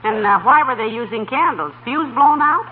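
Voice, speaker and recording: Lady acting a patient wife, dry and gently scolding, tinny old radio recording. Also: a little high, lively intonation, normal speed, a little hiss or hum, natural voice. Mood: gently chiding. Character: patient, wry, soothing middle-aged wife. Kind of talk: 1940s radio comedy acting.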